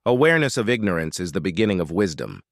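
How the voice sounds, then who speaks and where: Man voicing a solemn, bookish baron, lowish voice. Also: very animated, no echo, clean studio sound. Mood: wry amusement. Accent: British. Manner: quiet, measured, wry. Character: reclusive baron, solemn, bookish, resigned, gentle.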